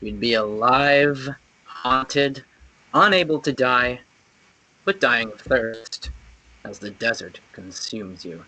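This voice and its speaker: A man's voice: mid-range voice, slow, pushed and bright, rough recording. A man acting a teasing, sceptical teenage boy in a casual way at a script table read. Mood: mocking.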